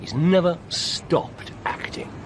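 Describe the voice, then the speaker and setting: An actor playing a worldly middle-aged English doctor, wry and urbane, in a radio drama. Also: male voice, fairly high pitch, slow. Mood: amused. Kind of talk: British radio drama acting. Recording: faintly noisy radio play recording.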